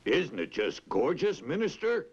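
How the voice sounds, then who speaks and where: A man voicing a sly, oily swindler who flatters as he cons, in a storybook tale. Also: a little high, measured pace, slightly rough, voice pushed up, heavily acted. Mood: coaxing. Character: sly, oily male swindler posing as magic weaver. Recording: dull lo-fi old tape.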